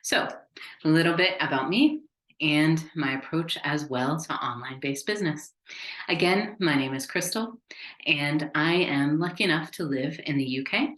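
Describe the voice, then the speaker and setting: A woman's voice: low-pitched, moderate pace, a bit bright. An American woman giving an upbeat, conversational business webinar. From United States. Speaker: business consultant. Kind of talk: webinar presentation. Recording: echoey room.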